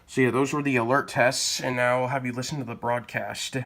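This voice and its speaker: A man talks casually to viewers as he presents a collection. His delivery is plain-spoken and a little hesitant, with a middling pitch, as if thinking aloud while he shows each item. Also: steady tone, fairly quick, very noisy. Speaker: collector presenting weather radios. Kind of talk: casual product showcase vlog.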